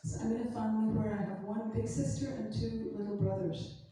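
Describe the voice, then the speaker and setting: Female church interpreter, mellow voice, distant, heavy chapel echo. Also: on the high side, fairly even intonation, normal speed. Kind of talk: consecutive interpreting into English. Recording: echoey chapel from far off.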